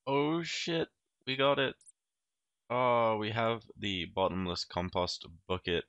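A man with a low voice and a slight illness talks through his game session in a loose, rambling way, restarting his sentences and swearing casually. The recording is dry and close, with no room sound. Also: slow, fairly bright tone, clear, resonant tone, dry recording, dull audio. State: a little ill. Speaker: game video commentator. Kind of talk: casual gameplay commentary.

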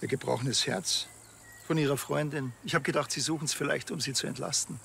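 An actor playing a gruff, sarcastic Bavarian policeman in a crime comedy, dry and laconic. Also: medium pitch, fairly even intonation, brisk, sharp tone, slight background noise. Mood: deadpan.

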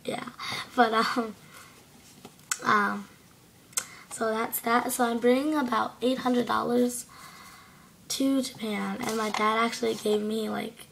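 Hoarse teenage girl, gravelly flat chat. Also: a little high, takes it slowly, long pauses, slight background noise. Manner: chatty, rambling, many fillers. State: hoarse from a cold. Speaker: exchange student vlogger. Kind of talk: casual video diary. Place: small bedroom indoors at night. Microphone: laptop webcam at close range.

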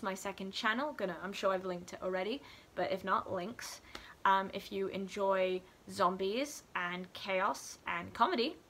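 A woman's voice: medium pitch, bright, forceful tone. A young adult woman chatting casually to camera as a student vlogger in a living room. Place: furnished living room indoors.

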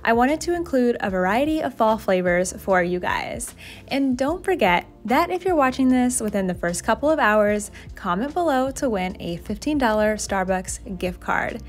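A woman's voice: a little high, animated, normal speed. A young woman narrating a home cooking video in a chatty, self-deprecating way, over light background music. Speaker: cooking vlogger. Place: home kitchen.

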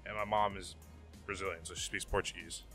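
A man's voice: deep voice, steady tone, brisk, music in the background. A young man from Miami chatting casually as a podcast guest about his trucking job, rambling and unsure of details, into a handheld mic in a small room. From Miami, USA. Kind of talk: casual chat. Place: small wood-panelled room, indoors.

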